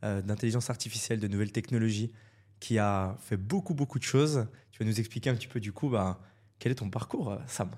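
A man hosting a podcast, asking questions in a relaxed, chatty way with frequent restarts. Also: lowish voice, fast. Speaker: podcast host. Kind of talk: casual interview hosting.

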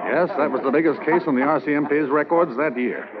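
A man's voice: a little high, a little fast, loud music behind the voice. A man narrating and reminiscing about an old police case in a conversational way, in a 1950s radio drama. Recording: muffled, lo-fi 1950s radio transcription.

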